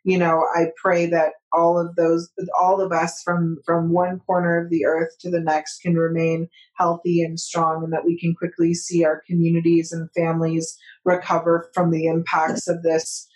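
A woman's voice: fairly low pitch, completely flat delivery, normal speed, few pauses, darkish tone, low-quality recording. A woman hosting a podcast interview, effusive and thankful toward her guest. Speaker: podcast host.

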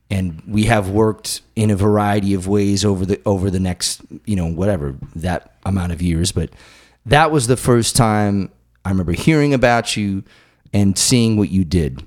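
A man's voice: deep voice, moderate pace, a little mellow. Loose, profane man hosting a chat. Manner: loose, profane, rambling storyteller. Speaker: podcast host. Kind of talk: casual interview chat.

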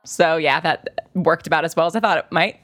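A woman's voice: deep voice, fairly quick. A woman improvising as a player in a tabletop game, somewhat hesitantly. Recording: loud music behind.

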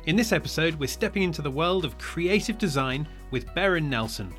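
A man's voice: fairly high pitch, animated, fairly quick, a bit bright, soft background music. A man hosting a school podcast, reading a scripted introduction and asking questions in an upbeat way. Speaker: podcast host.

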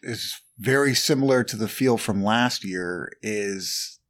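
A man's voice: fairly low pitch, takes it slowly, fairly bright tone. A man co-hosting a sports podcast, chatting in an easygoing, conversational way. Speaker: podcast co-host. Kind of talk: casual sports podcast chat.

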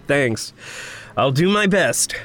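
An actor playing a troubled, self-blaming mage in training, hesitant and polite, in a naturalistic audio drama. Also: male voice, fairly high pitch, animated, slow pace, a bit bright, slight background noise. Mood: dry, willing.

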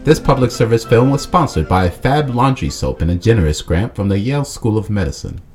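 A man's voice: fairly low pitch, lively intonation, brisk, lightly acted. A man playing a formal mid-century public service film announcer. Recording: prominent music behind voice.

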